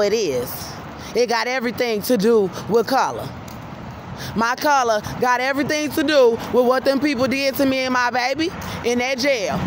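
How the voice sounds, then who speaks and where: An American woman in her thirties talking insistently and emphatically into a handheld phone as she walks, often repeating her phrases. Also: fairly high pitch. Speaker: vlogger. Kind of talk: livestream-style monologue to camera while walking. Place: outdoors on a city street at night, walking. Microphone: handheld phone at arm's length. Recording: noisy phone recording on city street. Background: traffic and street noise.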